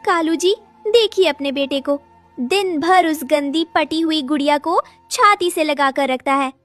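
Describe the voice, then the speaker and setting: A woman's voice: high voice, a little slow, a bit bright, no room sound, faint music behind. A woman voicing a cruel cartoon stepmother crow with a scolding, contemptuous manner. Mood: disgusted scolding.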